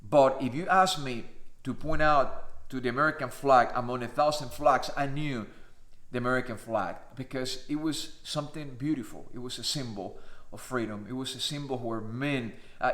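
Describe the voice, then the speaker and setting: A man's voice: mid-range voice, a bit bright. A man in his fifties from Cuba with a Cuban Spanish accent, whose first language is Spanish, giving an earnest, emphatic personal testimony to camera with frequent "uh" fillers. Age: 50s. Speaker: pastor. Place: indoors, in front of a flag.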